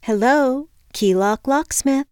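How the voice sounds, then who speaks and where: Woman doing a chirpy, patient dispatcher, pitched up. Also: slow pace, clean tone, dry recording, clean studio sound. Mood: pleasant, helpful. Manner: polite, calm, reciting like a script. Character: pleasant, patient, chirpy female locksmith dispatcher. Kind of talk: acted audiobook dialogue.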